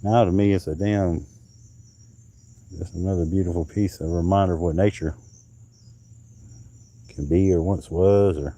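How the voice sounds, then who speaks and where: Low, mellow-voiced man rambling casually. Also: fairly even intonation, moderate pace, pauses often. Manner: rambling, chatty, drifts off mid-sentence; handling and showing coral pieces. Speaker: live stream host. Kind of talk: casual live stream chat.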